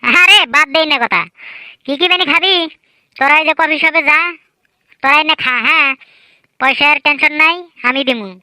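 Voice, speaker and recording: A woman's voice: high-pitched, moderate pace, pauses often, bright, forceful tone, no echo, low-quality recording. A woman voicing a sly, boastful, lazy teenage village son in a comedy cartoon, chatty and colloquial.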